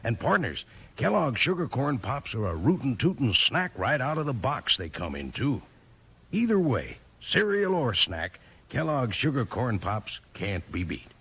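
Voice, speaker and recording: A man's voice: medium pitch, huge pitch swings, a little slow, fairly bright tone, slightly rough. A man with an American accent giving a folksy, emphatic 1950s radio commercial. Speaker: commercial announcer. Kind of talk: 1950s radio ad pitch with cowboy slang.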